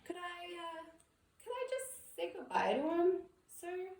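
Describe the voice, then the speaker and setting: A woman's voice: extremely high pitch, sing-song, a little slow, darkish tone, distant mic, voice pushed up, full character voice. Woman putting on a humble tender giant, echoey room. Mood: pleading. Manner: folksy, stammering when upset. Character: giant wild man, humble, tender-hearted, easily tearful. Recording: echoey room with background noise.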